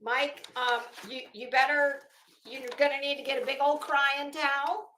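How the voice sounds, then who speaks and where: Middle-aged female commentator, high-pitched, sarcastic and dramatic, casual delivery, recorded in a roomy space. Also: steady tone, bright, forceful tone, slight background noise. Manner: sassy, sarcastic, dramatic emphasis with drawn-out words. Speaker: host of an online commentary show. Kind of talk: casual, opinionated commentary to camera. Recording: roomy, echoing sound.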